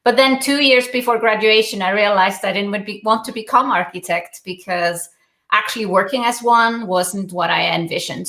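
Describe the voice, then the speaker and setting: Icelandic woman, bright voice, roomy sound. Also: medium pitch. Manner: earnest storytelling with many "uh" hesitations. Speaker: guest entrepreneur. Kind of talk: interview over video call.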